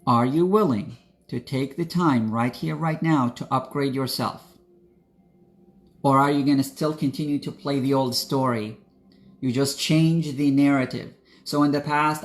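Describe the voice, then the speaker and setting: A young man from Iraq, a spiritual coach, talking straight to his viewers in an earnest, preachy motivational style. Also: medium pitch. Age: young adult. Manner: earnest, direct, preachy, addresses viewers as guys. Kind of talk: motivational talk to camera.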